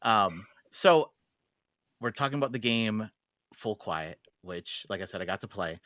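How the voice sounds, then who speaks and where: A man's voice: on the low side, expressive, unhurried, a bit bright, no room sound, clean studio sound. A man hosting a podcast, asking questions in a casual, conversational way. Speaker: podcast host, interviewer.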